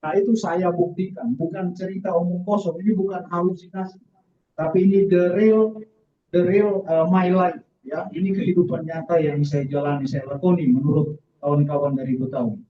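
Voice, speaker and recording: Higher-pitched man testifying, roomy and distant. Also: steady tone, normal speed. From southern Philippines, mixed Indonesian family. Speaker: guest speaker giving a testimony, says he is a military man. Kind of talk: church testimony. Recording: distant, roomy, dull lo-fi sound.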